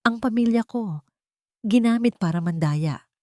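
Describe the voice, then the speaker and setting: A woman's voice: a little high, animated, measured pace, warm tone, dry recording, studio quality, voice pushed up. Woman voicing tearful, guilt-stricken Filipino wife. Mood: tearful, ashamed. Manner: tearful, pleading. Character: guilt-stricken young wife, anguished and ashamed.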